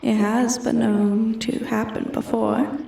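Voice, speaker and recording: Slow, echoing, menacing dragoness, acted by a woman. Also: fairly high pitch, little pitch movement, vocal fry, warm tone, far from the mic. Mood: mocking amusement. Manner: taunting, imperious, slow and deliberate. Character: bored, menacing female dragon toying with a human thief. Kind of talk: roleplay ASMR, scripted character acting.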